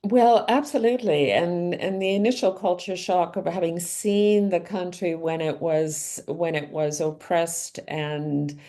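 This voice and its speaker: Slow, mellow-voiced woman author. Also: fairly low pitch, fairly even intonation. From Canada. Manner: thoughtful, measured, frequent restarts and ums. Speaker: author and guest. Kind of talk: reflective interview answers.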